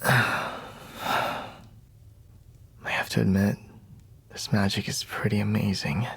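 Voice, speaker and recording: Man playing a grudgingly soft mercenary bodyguard, ASMR roleplay, husky and breathy, low-pitched, halting delivery. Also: steady tone, full character voice. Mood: softly protective. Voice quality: breathy, husky, gravelly near-whisper. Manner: hesitant, halting, grudgingly soft, frequent sighs. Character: gruff, flustered young male mercenary royal bodyguard, secretly tender. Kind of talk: intimate ASMR roleplay acting.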